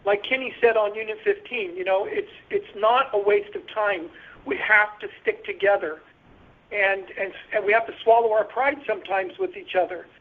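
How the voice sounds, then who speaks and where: High-voiced man on a tinny phone line. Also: fairly even intonation, normal speed. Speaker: patient caller giving a statement. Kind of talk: spoken statement on a conference call. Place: conference call by telephone.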